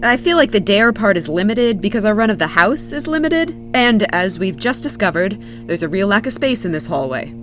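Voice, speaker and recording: A woman's voice: mid-range voice, very animated, fairly quick, hardly any pauses, over music, natural voice. A woman playing a practical young mechanic in an audio drama, dry and sarcastic. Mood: wry. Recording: faint hum and noise.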